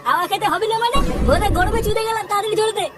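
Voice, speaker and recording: A performer with a pitched-up voice plays a grumpy, foul-mouthed married man in a crude comedy cartoon. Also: racing, loud music behind the voice, over a noisy crowd. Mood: exasperated. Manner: coarse, swearing, complaining.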